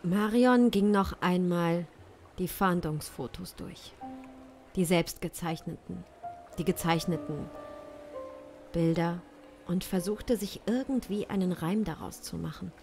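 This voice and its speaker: A woman's voice: lowish voice, unhurried, darkish tone, soft background music. A woman in her thirties giving improvised dramatic narration for an audio play, close on a studio microphone. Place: small indoor studio. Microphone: close studio microphone with pop filter. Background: musical backing.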